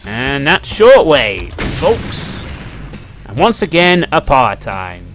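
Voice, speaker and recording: A man's voice: medium pitch, huge pitch swings, takes it slowly, a bit bright. A man giving casual video game commentary, thinking aloud in a musing, dryly critical way. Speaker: let's play host. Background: music behind the voice.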